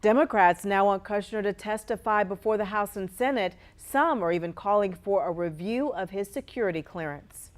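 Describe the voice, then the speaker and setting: A woman's voice: mid-range voice, normal speed, few pauses. A woman with an American accent reading scripted television news as an anchor.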